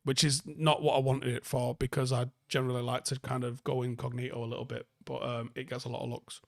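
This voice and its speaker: A man with a softened Manchester, northern English accent, chatting casually and bluntly as a livestream host answering viewer comments, with plenty of swearing. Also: mid-range voice, little pitch movement, fast talker, vocal fry, no echo, studio quality.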